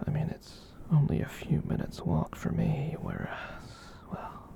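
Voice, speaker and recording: A performer voicing a gentle, soft-hearted giant catboy in a breathy whispered ASMR comfort roleplay, tender and hesitant as they ramble. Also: mid-range voice, wildly swooping pitch, unhurried, full character voice. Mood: tender, reassuring.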